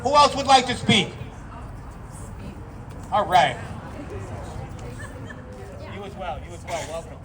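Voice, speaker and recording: A man moderating an outdoor town hall rally, brisk, calling up speakers and leading a chant. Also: sits high, very animated. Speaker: event moderator. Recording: distant mic outdoors, loud crowd and noise.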